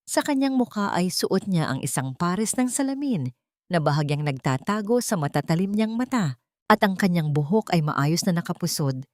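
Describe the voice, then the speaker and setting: A woman reading a scripted story as an audiobook narrator, with a measured, dramatic delivery. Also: lowish voice, lively intonation, darkish tone, dry recording, clean studio sound.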